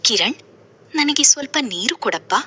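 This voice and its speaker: A woman's voice: high voice, expressive, normal speed, sharp tone, slight background noise. A woman playing a domineering, insistent stepmother in a moral story. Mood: sorrowful. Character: domineering stepmother doting on her own son.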